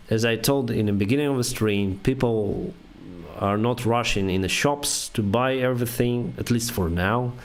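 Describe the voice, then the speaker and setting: A young man whose first language is Russian, speaking English haltingly with many fillers. He reads viewer questions aloud and answers them on a casual live stream. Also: medium pitch. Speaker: airline pilot streamer answering chat.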